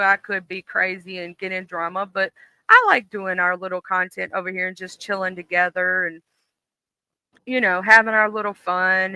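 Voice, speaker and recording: A livestream host who reads a horror story aloud in a steady narrating voice, then chats casually with viewers, with many fillers and restarts. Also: mid-range voice, smooth, no echo, rough recording.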